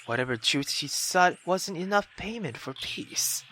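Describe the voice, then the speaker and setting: A male gaming YouTuber with a bright, fairly high and very animated voice. He reads a visual novel dramatically and breaks into excitable, sweary commentary. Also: a little slow, a little hiss or hum. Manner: expressive, theatrical, sweary.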